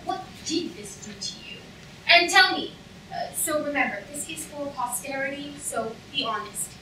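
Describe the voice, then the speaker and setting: A woman playing a polite, sadistic torturer count, deliberate and clinical, in a staged reading of a comic play. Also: high voice, lively intonation, sharp tone. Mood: clinically menacing. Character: courteous, sadistic torturer count, coolly scholarly. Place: auditorium stage, recorded from the audience. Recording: echoey hall, distant, noisy audience room.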